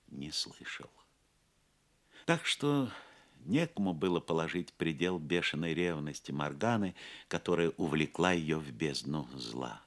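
A man narrating an audio play as a dramatic storyteller, theatrical and measured. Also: low voice.

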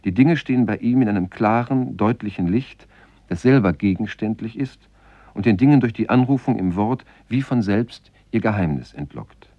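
A man with a low voice narrates a scripted essay on literature. His delivery is measured and formal, like a radio presenter introducing a play. The sound is very dry and a little muffled. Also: lively intonation, no room sound. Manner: measured, formal, reading quotations.

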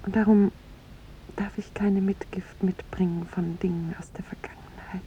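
An actress playing a charming young woman in a 1950s radio drama, speaking softly, earnestly and with delicate restraint, gently resolute in parting. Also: level tone, normal speed, lightly acted. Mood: earnest, tender. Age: young adult. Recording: muffled vintage radio recording, rumbling hiss.

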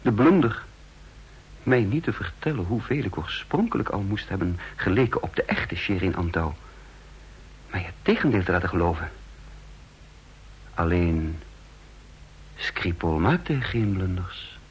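A man playing a shaken, brooding secret agent who narrates his thoughts in measured, husky tones in a Dutch radio drama. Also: sits low, lively intonation, exaggerated voice, poor audio. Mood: brooding suspicion.

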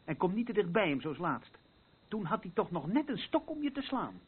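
A blunt, down-to-earth man in a radio play. His voice is husky and his way of talking plain and terse. The recording is narrow and muffled, like a phone line. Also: high voice, big pitch swings, a little fast, exaggerated voice. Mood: firm, concerned. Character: blunt, down-to-earth man, the troubled woman's confidant.

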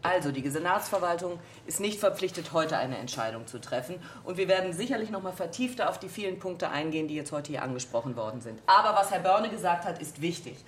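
An actress playing a measured, evasive female administration official giving a formal statement in a radio drama. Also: on the low side, animated, close to an everyday voice. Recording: roomy meeting hall, faint noise.

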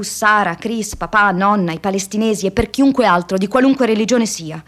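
A woman's voice: medium pitch, close to an everyday voice. An actress playing an idealistic, disillusioned young woman activist in a radio theatre monologue, speaking in an earnest, reflective, confiding way.

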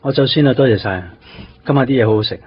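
A man's voice: medium pitch, quick pace, lightly acted. An actor playing an earnest, protective male police detective in a Cantonese radio drama, speaking in short, clipped phrases. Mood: concerned, urging. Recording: dull band-limited radio broadcast, music behind.

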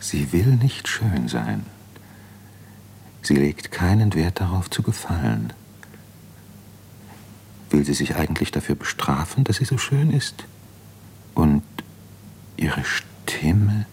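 Radio play: ailing introspective diarist, deep-set low register, brooding, halting, self-questioning. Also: male voice, very animated, vocal fry, darkish tone, a little hiss or hum, heavily acted. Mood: hushed longing. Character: brooding, ailing man writing an introspective diary. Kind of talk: literary radio play monologue.

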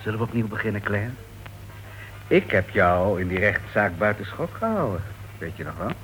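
Smug, menacing ex-lover, lively pitch. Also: male voice, normal speed. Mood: taunting, threatening. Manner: mocking, patronising, insinuating. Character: smug, menacing male ex-lover, taunting and condescending. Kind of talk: Dutch radio play acting. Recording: faintly noisy old radio recording.

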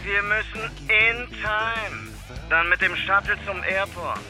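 A pushy male band manager talks in a high voice, chummy and bossy at once. He drops English jargon like someone running a schedule in a radio drama, over music. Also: slow pace, pushed and bright, heavily acted. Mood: bossy, insistent. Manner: bossy, patronising endearments, English business jargon. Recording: music playing behind the voice.

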